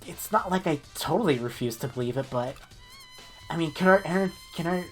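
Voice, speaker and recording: A man's voice: a little high, expressive, lightly acted. A man playing an incredulous, hesitant ordinary teenage boy in an amateur game dub. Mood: doubtful. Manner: doubtful, halting, questioning. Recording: faint music behind.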